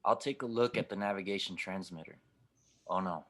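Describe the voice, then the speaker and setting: A man's voice: low-pitched, a little fast, a bit bright. A man playing a blunt, protective, reassuring starship pilot in an audio drama table read. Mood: grave, blunt. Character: gruff, steady older male starship pilot, protective of his companion.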